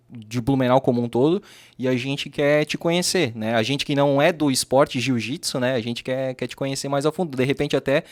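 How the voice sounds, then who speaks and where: A man co-hosts a podcast, talking in a relaxed, chatty way and agreeing often. His voice sits at an ordinary pitch. The mic is close and dry. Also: no echo. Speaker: podcast co-host. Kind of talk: casual podcast chat.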